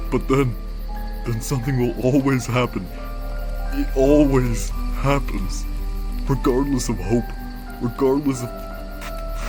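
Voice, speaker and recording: A man voicing a weary, wisecracking skeleton big brother in a comic dub, casual and halting, with a tender, secretly anguished streak. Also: mid-range voice, natural voice. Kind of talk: comic dub character acting. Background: background music.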